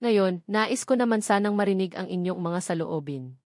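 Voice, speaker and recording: A woman's voice: mid-range voice, expressive, normal speed, darkish tone, no room sound, studio quality. A woman reads a scripted story in an earnest, sentimental, formal way. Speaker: storyteller narrator. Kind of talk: scripted dramatic story narration.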